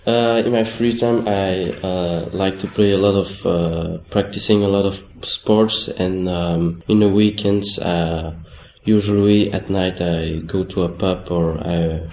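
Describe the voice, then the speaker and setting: A man's voice: low-pitched, steady tone, unhurried, hardly any pauses, a little mellow, roomy sound, a little hiss or hum. A man from Belgium, a language student answering and asking questions with many hesitations. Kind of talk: casual podcast interview.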